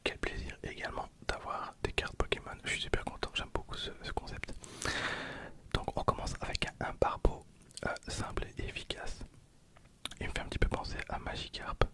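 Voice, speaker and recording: A man in his twenties whispering softly as an ASMR host, hesitant and often repeating his words. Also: normal speed. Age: 20s. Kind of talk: whispered ASMR card-opening commentary.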